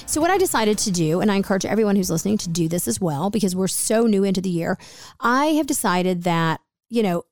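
A raspy-voiced woman in her forties, co-hosting a podcast in a chatty, rambling way full of fillers. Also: medium pitch, animated, fast talker, darkish tone, dry recording. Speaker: podcast co-host. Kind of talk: casual podcast chat.

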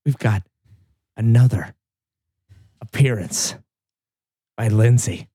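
A man hosting a casual group chat, his voice going hoarse, loose and swearing as he laughs. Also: on the low side, slow, mellow, dry recording, clean studio sound. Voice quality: husky. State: losing his voice. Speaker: podcast host. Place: wood-panelled vacation room.

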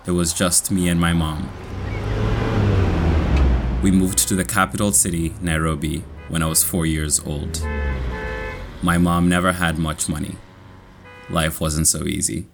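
Young Kenyan man, very low voice. Measured, clear scripted storytelling. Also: little pitch movement, noisy background. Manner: slow, clear, measured reading. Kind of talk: scripted personal story for learners.

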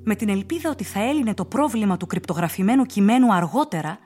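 An actress playing an intense female narrator in a horror radio play, with a dramatic, excitable delivery. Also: medium pitch, breathy edge, faint music behind. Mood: suspenseful.

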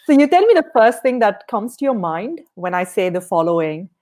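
A woman's voice: medium pitch, very animated, a little fast, darkish tone. A woman hosting a podcast interview, asking questions in a friendly, encouraging way. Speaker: podcast host.

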